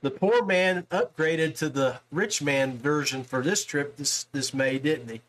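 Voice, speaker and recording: A man's voice: a little high, measured pace, a bit bright, poor audio. A man hosting a podcast, chatting casually and informally with a guest about his hobby in a folksy way. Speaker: podcast host. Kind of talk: casual interview chat about fishing.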